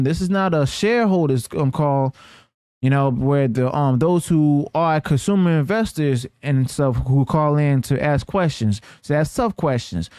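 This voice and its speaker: A man's voice: on the high side, a little mellow, clean tone, no room sound, studio quality. A man hosting news commentary, partly reading from notes, who stumbles and corrects himself and often checks in with the listener. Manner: halting delivery with stumbles and self-corrections. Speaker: host of a news commentary channel.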